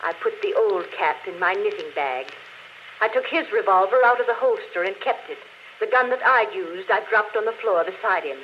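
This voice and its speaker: An actress playing a dead woman who confesses a murder on a phonograph record, speaking slowly in 1940s radio style. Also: medium pitch, lightly acted. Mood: coolly confessing. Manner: calm, deliberate confession, later frightened. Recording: dull old radio broadcast with faint hiss.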